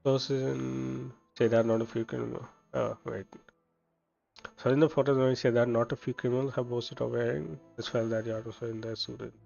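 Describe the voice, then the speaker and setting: Young guy streamer, gravelly mumbly laid-back commentary, dull mic, game music behind. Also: mid-range voice, little pitch movement, normal speed, darkish tone.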